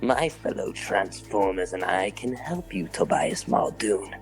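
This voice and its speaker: A man playing a cold, scheming alien robot leader who speaks in formal, measured, grandiose phrases in a comic dub. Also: low-pitched, expressive. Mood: cool, commanding. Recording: voice under background music.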